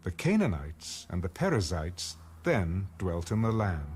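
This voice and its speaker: A man's voice: sits low, very animated, a little slow, a little mellow, a little hiss or hum. A man narrating scripture as a scripted, dramatized reading. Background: music.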